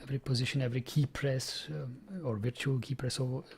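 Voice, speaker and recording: Young guy w/ czech accent, stream chat, restarts a lot, gravelly and kinda flat. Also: mid-range voice, slight background noise. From Czech Republic. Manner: halting, repeats words while thinking aloud. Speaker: programming streamer. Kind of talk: casual live-stream chat.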